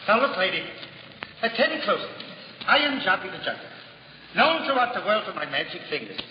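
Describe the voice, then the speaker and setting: An actor playing a humble, simple-hearted juggler in a 1950s radio drama, earnest and self-deprecating. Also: male voice, brisk, a little hiss or hum. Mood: devout, wondering. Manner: earnest, self-deprecating, lively swings in pitch. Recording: dull old radio broadcast with hall reverb and music.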